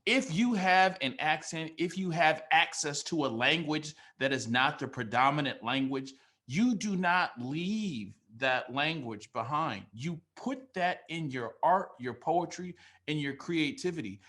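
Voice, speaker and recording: Young male emcee, hyped and casual, bright punchy voice. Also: on the high side, lively intonation, unhurried. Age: young adult. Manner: enthusiastic, bursts of shouting and laughing, casual slang. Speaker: event host and teacher. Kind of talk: energetic off-the-cuff remarks.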